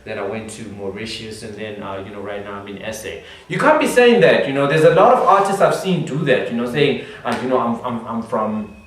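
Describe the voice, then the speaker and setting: A man's voice: mid-range voice, animated, fairly quick, a little hiss or hum. A man, a musician guest from Zimbabwe, chatting animatedly in an interview, repeating words and laughing often. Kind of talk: casual interview chat. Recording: echoey room, distant mic.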